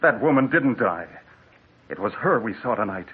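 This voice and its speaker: A man's voice: medium pitch, expressive, normal speed, slightly rough. An actor playing a spooked male traveler who speaks insistently and with alarm in a 1940s radio drama. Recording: tinny old radio broadcast.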